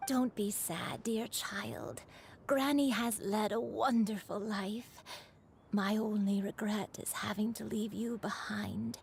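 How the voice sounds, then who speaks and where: A woman's voice: medium pitch, slow pace, gravelly, a little hiss or hum. A woman voicing a loving old grandmother, a crane spirit in human form, in a tender, soothing game performance. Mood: tender, reassuring. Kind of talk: video game voice acting.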